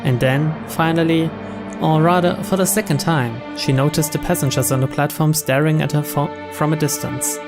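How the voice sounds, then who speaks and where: Higher-voiced man narrating a story over music. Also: fairly even intonation, moderate pace. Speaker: let's player reading a visual novel aloud. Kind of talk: scripted story narration read aloud.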